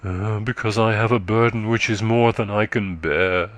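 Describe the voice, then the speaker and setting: A man voicing a weary, faint middle-aged countrywoman who is exhausted and short of breath, speaking slowly and low. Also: fairly even intonation, fairly bright tone, no echo, lightly acted. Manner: slow, low, sorrowful.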